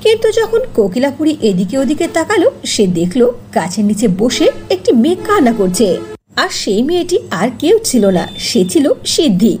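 Woman narrator, fairy-tale storytelling, mellow tone, lively intonation, scripted delivery. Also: high voice, a little fast. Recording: faint noise under voice-over.

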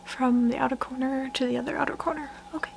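Soft, high-pitched young woman in ASMR. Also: steady tone, gravelly, a little hiss or hum. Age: young adult. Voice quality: soft, often whispered. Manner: gentle, unhurried murmuring to the listener. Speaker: ASMR artist roleplaying a portrait painter. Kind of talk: ASMR personal-attention roleplay.

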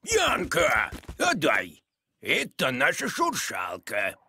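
An actor voicing a blunt, demanding, excitable crocodile in a children's cartoon. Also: medium pitch, slow, vocal fry, slightly rough, heavily acted. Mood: indignant.

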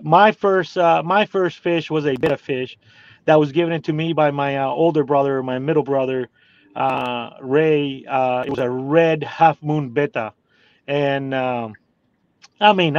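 A man's voice: on the high side, slow pace, no echo. A man in his forties with a Cuban Spanish accent, speaking English as a second language, telling his story hesitantly with many fillers from inside a parked car. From Cuba. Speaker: guest. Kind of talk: casual interview. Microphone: phone video call. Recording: dry, slightly dull phone audio.

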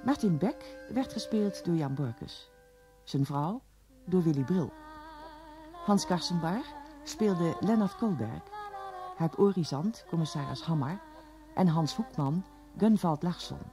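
Female, radio drama announcer, lowish mellow voice, scripted credits reading, faint music. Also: animated, unhurried, long pauses.

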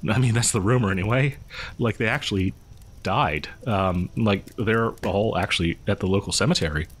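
A man's voice: on the low side, normal speed, husky. Man acting as hesitant, friendly, awkward young local guy. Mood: casual, gossipy. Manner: hesitant, rambling, nervous chuckles. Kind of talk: roleplay audio horror storytelling, conversational.

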